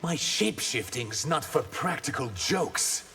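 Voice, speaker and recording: An actor playing a humble, reserved reptilian shape-shifter in a video game, serious and hesitant. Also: male voice, a little high, lively intonation, a little slow, sharp tone, a little hiss or hum, heavily acted. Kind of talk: video game fight banter.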